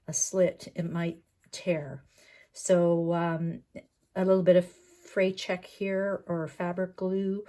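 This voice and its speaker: Hoarse woman teaching a sewing project. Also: lowish voice, darkish tone. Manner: chatty, explaining step by step with fillers. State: hoarse from a cold. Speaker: sewing pattern designer. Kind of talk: sewing tutorial walkthrough.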